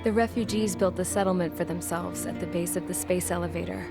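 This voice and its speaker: A woman's voice: lowish voice, steady tone, fairly quick, creaky. An actress voicing a blunt, plain-spoken young woman mechanic and pilot in a game cutscene, wry and down to earth. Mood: reflective. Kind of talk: game cutscene dialogue and narration. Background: loud music score.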